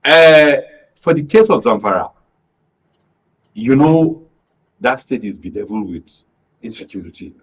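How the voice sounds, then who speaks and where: A Nigerian man speaking as a studio guest, giving emphatic, deliberate political analysis in a radio discussion and often repeating phrases. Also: mid-range voice, expressive, husky, poor audio.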